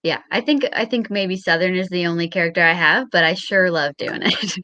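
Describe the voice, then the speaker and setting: A woman from Long Island chatting casually as a guest, modest and quick to giggle. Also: on the low side, bright, forceful tone, no echo, lo-fi sound. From Long Island, New York. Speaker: guest performer. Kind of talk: casual chat.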